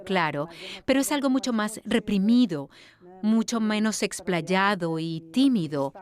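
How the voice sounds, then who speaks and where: A woman interviewing a scholar, asking engaged, probing questions. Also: medium pitch. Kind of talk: Spanish voice-over of an interview.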